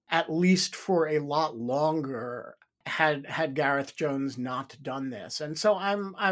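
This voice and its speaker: A man hosting a podcast, asking a long, thoughtful question with halting restarts. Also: on the high side, a bit bright. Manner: thoughtful, halting, repeats words. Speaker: podcast host. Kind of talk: interview question.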